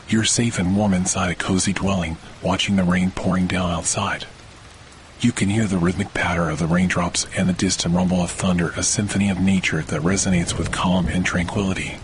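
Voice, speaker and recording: Male meditation host, low register, calm and measured sleep narration, rain and thunder faintly behind. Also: a little hiss or hum. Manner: calm, measured, scripted delivery. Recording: rainstorm and thunder under the voice. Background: steady rain and thunder.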